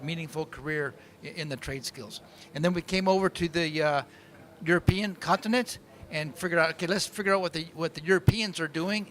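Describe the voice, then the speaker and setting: A man from the United States explaining the origins of a training program on a panel, measured and earnest. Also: fairly high pitch, fairly bright tone, slight background noise. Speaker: program founder.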